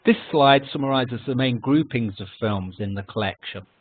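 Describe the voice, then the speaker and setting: A man giving a scripted history lecture with slides as a consultant, in formal, measured phrasing. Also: mid-range voice, slightly rough, dry recording. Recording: narrow phone-like online call audio.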